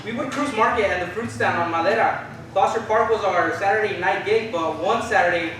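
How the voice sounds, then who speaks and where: A man's voice: high voice, fairly quick, lightly acted. A man reading the part of a spirited young Chicano activist, telling his story in slangy, theatrical monologue with Spanish words. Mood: casual, wry. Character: Philip, spirited Chicano youth recalling 1970 activism. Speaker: stage reader in a documentary play. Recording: echoey room from far off, noisy.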